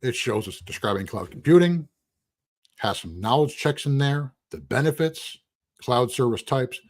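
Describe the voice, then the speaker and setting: A husky man, losing his voice, teaching a casual live-streamed lecture in a rambling, conversational way with asides. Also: medium pitch, expressive, moderate pace, no room sound, clean studio sound. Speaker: instructor.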